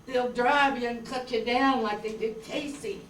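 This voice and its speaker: A woman's voice: on the high side, steady tone, measured pace, lightly acted. A woman playing a worried, loving older farm mother with folksy rural speech in a staged radio drama reading. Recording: roomy hall, distant mic, faint noise.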